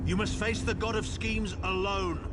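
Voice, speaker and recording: An actor playing a solemn mage leading a sacred rite in a fantasy game, ceremonious and earnest. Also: male voice, sits high, little pitch movement, moderate pace, over loud music, close to an everyday voice. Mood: urgent command. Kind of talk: fantasy video game acting. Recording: loud background ambience noise.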